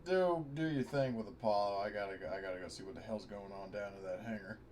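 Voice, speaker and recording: A man's voice: fairly low pitch, fast, darkish tone, natural voice. A man playing an irritable, blustering, folksy ship captain in an improvised tabletop game. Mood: defensive bluster. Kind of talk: improvised tabletop role-play acting. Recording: clearly noisy online voice call.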